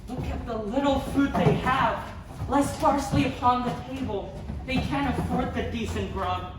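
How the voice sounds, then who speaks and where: A man's voice: very high-pitched, moderate pace. A man playing a pantomime character on stage, declaiming in rhyming verse. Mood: mournful. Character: fed-up household drudge and mournful guiding Christmas spirit. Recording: very echoey distant stage, loud noise.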